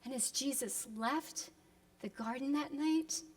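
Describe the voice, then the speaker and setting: A woman preacher giving a solemn, dramatic reflective sermon, deliberate with emphatic pauses, moving between hushed and impassioned delivery, in a reverberant hall. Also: sits high. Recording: long echoing hall reverb.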